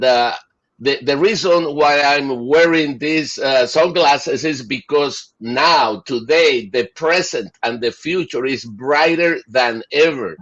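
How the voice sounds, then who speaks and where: A man's voice: medium pitch, fairly bright tone. A middle-aged man from Chile and Rico, talking enthusiastically as an interview guest with many fillers and restarts. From Chile and Puerto Rico. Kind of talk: casual interview. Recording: dull lo-fi audio.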